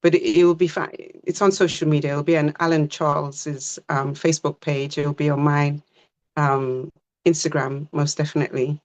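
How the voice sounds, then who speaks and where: A woman from Britain, a stage actor, chatting as a guest in a relaxed, breezy way. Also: deep voice, moderate pace. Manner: relaxed, breezy, short plain answers. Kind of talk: casual interview chat.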